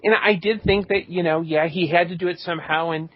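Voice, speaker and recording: A man's voice: a little high, steady tone, brisk, dry recording. A man co-hosting a podcast, giving blunt, sarcastic opinions in casual chat. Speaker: podcast co-host. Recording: dull lo-fi podcast audio.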